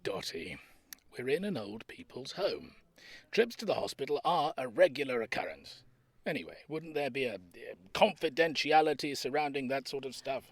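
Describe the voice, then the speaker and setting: An actor playing a grumpy, sceptical old care home resident in an audio drama, creaky and drily teasing. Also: male voice, medium pitch, sing-song, moderate pace, no echo. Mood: dismissive. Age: older. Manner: dry, sardonic.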